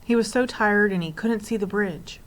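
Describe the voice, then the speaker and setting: A woman's voice: medium pitch, normal speed, natural voice, poor audio. A woman voicing an earnest, tearful young girl in a storybook, speaking in an imploring way. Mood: explaining.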